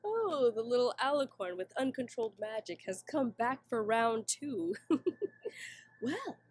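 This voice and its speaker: A woman's voice: fairly high pitch, sing-song, unhurried, husky, no room sound, heavily acted. A woman voicing a jealous, vengeful villainous pony mare in a cartoon, theatrical and taunting. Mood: mocking, taunting. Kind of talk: fan animation voice acting.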